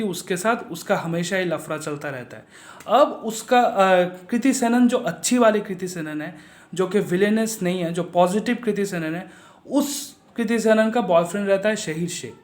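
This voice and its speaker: Man, film reviewer, casual rant, high-pitched, echoey room, blunt and sarcastic. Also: darkish tone. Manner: blunt, mocking, repeats himself for emphasis. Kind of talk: casual spoken movie review.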